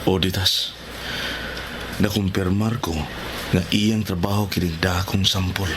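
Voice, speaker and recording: A man playing a cautious, reasoning husband and father in a radio drama, measured and urging restraint. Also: sits low, fairly even intonation. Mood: earnest. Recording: noisy background ambience.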